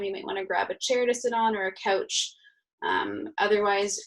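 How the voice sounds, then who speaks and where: Female meditation instructor, mid-pitched with noticeable vocal fry, soothing and unhurried, giving gentle, informal guidance. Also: poor audio.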